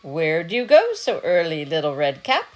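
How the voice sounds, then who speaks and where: A wicked storybook wolf, played by a woman reading aloud, smooth-talking and cunning. He coaxes his prey with very animated, swooping intonation. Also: low-pitched, normal speed. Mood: coaxing.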